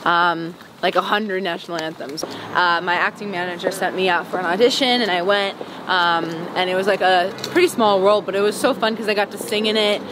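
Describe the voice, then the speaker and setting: A woman's voice: medium pitch, steady tone, brisk. A young woman from Wisconsin, a singer, chatting casually and giggling with lots of "like" and "um" while she walks down a sidewalk in an interview. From Wisconsin, United States. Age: young adult. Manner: chatty and giggly, many "like" and "um" fillers. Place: outdoors on a city sidewalk, walking. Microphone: handheld camera at close range. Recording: street noise behind the voice.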